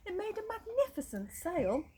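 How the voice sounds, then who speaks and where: A woman's voice: very high voice, big pitch swings, normal speed, warm tone, slight background noise. A woman reading a children's story expressively, like a primary teacher.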